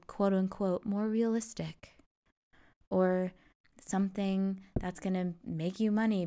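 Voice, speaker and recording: A woman's voice: on the low side, dark tone. A young adult woman hosting a solo podcast, talking in an earnest, encouraging way. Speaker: podcast host. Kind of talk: reflective solo podcast monologue.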